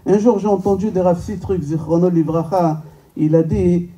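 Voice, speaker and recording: Male rabbi, native Hebrew speaker, high-pitched voice, lecturing informally and emphatically, recorded at a distance in a hall. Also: fairly even intonation, normal speed, warm tone. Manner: rhetorical questions, emphatic repetition, joking. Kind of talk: informal religious lecture to a live audience. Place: medium-sized indoor synagogue function room.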